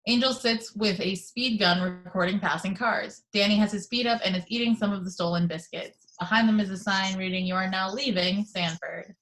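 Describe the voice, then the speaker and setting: Woman reading scene directions, mid pitch, plain even delivery. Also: moderate pace, hardly any pauses, low-quality recording. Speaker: narrator reading stage directions. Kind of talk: table read, reading action description.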